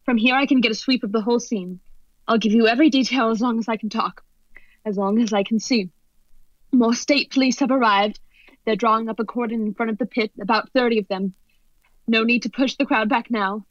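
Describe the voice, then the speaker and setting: A woman playing an excited, increasingly horrified radio news reporter giving dramatic, halting eyewitness commentary in a radio play. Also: a little high, no room sound, close to an everyday voice. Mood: tense, alarmed. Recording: dull lo-fi audio under music.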